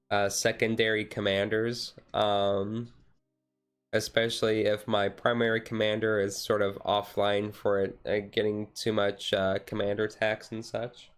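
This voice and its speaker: A man streamer chatting casually in a relaxed, halting, rambling way, thinking aloud as he goes. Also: fairly low pitch, clean tone. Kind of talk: relaxed casual chat about a card game, ASMR-style stream. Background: music playing softly behind the voice.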